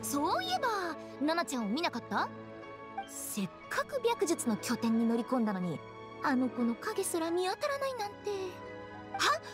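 A woman voicing a spirited, suspicious young female funeral director in an anime game, expressive and inquisitive. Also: sits high, moderate pace, music in the background. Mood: curious, suspicious.

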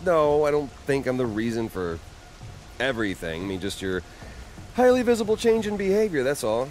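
A man's voice: mid-range voice, sing-song, moderate pace, lightly acted. A young American man voicing a cocky, wisecracking superpowered hero with a conscience in a video game, casual and wry. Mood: earnest, teasing. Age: young adult. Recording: loud game music behind.

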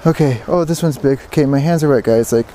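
A man giving excited, casual fishing commentary, repeating words in bursts, speaking outdoors into a body-worn action camera. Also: medium pitch, fast talker. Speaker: fishing vlogger. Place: outdoors beside a stream. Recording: outdoor water noise behind voice.

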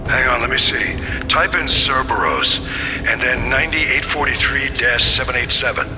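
A man's voice: mid-range voice, moderate pace, close to an everyday voice. Man acting casual helpful tech ally, music behind. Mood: helpful, focused. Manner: casual, practical. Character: helpful male tech ally guiding by radio. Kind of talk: video game acting.

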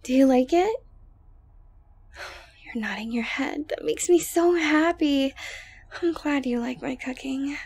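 A woman playing an obsessive, sweet-talking yandere girlfriend in an intimate ASMR audio roleplay, coaxing softly with breaths and near-whispers. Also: high-pitched, slow, pauses often. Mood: cheerful, doting. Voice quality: soft, breathy, often dropping to a whisper. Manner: coaxing, cooing, falsely gentle, hesitant.

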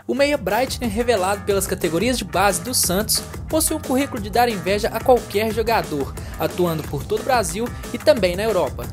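A woman reads scripted TV narration in an even, announcer-like way. Her pitch is ordinary, and music plays clearly beneath her voice. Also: a little fast, hardly any pauses. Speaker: voice-over narrator.

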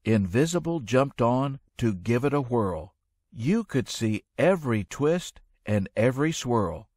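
A man narrating a rhyming children's story in an expressive, measured storytelling manner. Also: medium pitch, no echo, studio quality.

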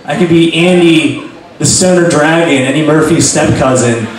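A young man doing awkward, halting stand-up at an open mic, full of fillers and pauses, on a handheld mic in a small bar. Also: on the high side. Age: young adult. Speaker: stand-up comic. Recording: echoey bar from far off, crowd. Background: bar crowd.

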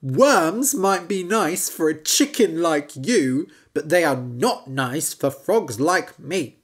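A woman voicing a curious, picky little male frog who talks brightly. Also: deep voice, animated, slow pace, slightly rough, exaggerated voice.

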